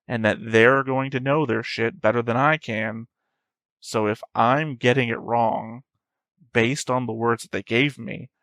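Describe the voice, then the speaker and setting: A man's voice: mid-range voice, a bit bright, no echo. A man hosting a reaction video, giving casual analytical commentary in a rambling, thinking-aloud way.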